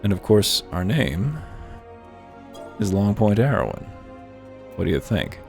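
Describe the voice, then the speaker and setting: A man's voice: fairly low pitch, sing-song, unhurried, gravelly, a little mellow. A man hosting a let's play, giving wry, offhand commentary and reading game text aloud. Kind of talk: casual gameplay commentary. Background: faint game music.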